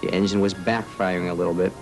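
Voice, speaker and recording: A man's voice: deep voice, level tone, fast, rough recording. A man, a flight instructor, recounting events in an interview. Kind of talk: interview recollection. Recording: loud music behind the voice. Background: music.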